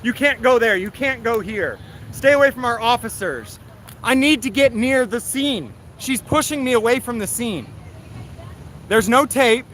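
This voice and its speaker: A man's voice: very high voice, animated, unhurried, fairly bright tone. A man speaking in an indignant, scolding, confrontational way as he livestreams on the street. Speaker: livestreamer filming police. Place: outdoors near a street. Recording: noisy outdoor street recording with traffic.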